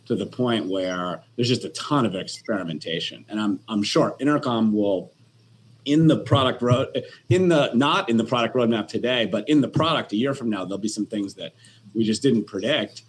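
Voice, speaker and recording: A man's voice: on the low side, slight background noise. A man on a panel discussion as an investor, talking with many restarts and fillers.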